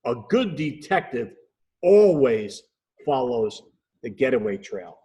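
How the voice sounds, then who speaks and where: A man voicing an earnest, excitable owl detective in a children's storybook, dramatic and self-important. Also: mid-range voice, slow pace.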